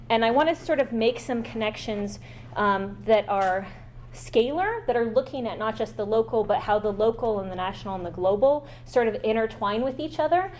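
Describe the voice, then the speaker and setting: A woman guest lecturer giving an academic talk, partly read aloud, halting at times with fillers and restarts. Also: fairly high pitch, darkish tone.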